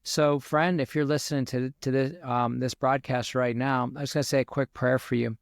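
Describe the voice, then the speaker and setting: A man's voice: mid-range voice, fairly even intonation, normal speed, creaky, dry recording, studio quality. A man in his forties hosting a faith podcast, speaking earnestly like a preacher to his listeners from a home office with a headset. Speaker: podcast host and minister. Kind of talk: podcast interview ending in a prayer. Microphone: over-ear headset.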